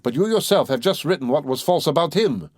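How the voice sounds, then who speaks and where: A man's voice: fairly high pitch, lively intonation, brisk, dry recording, studio quality, pitched above the performer's own voice. A man voicing a timid older mother. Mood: timid dignity.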